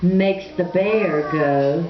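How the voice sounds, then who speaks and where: A woman reading a children's puppet show story in a theatrical, deliberate manner. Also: lowish voice. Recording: echoey, muffled, crowd noise behind.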